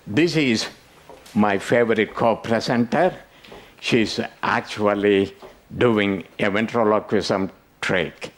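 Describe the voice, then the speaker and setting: A man's voice: fairly low pitch, takes it slowly. An older man leading a conference workshop, talking to the audience and teasing them with jokes. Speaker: workshop presenter. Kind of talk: interactive conference workshop talk.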